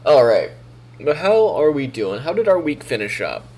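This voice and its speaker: A man's voice: medium pitch, normal speed, low-quality recording. A man streaming on a weekend morning, chatting casually with a groggy, froggy morning voice. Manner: relaxed, talking to himself while troubleshooting. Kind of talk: casual live-stream chat.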